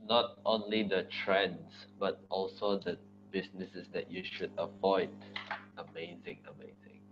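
A man's voice: deep voice, steady tone, measured pace, lo-fi sound, over music. A man moderating a webinar, upbeat and reading out audience questions. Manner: upbeat, reads questions aloud.

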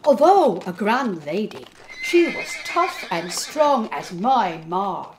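A woman's voice: mid-range voice, sing-song, slow, slight background noise, low-quality recording. A woman performing a devoted, plain-spoken Irish soldier telling his story earnestly in a dramatic poetry monologue. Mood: admiring.

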